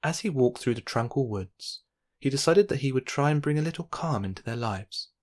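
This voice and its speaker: A man narrating a children's bedtime story in an expressive, measured read-aloud style. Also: medium pitch.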